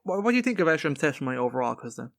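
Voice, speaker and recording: A man's voice: fairly high pitch, very animated, rapid-fire, vocal fry. A man co-hosting a podcast, explaining video game lore in an informal, rambling way. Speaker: podcast co-host.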